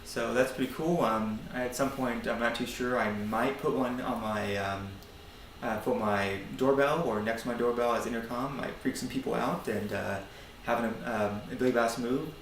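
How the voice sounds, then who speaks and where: An American man giving a casual DIY demonstration of a hobby project, speaking in a hesitant, halting, informal way. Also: medium pitch, fairly even intonation, slight background noise, rough recording. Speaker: hobbyist maker presenting a project.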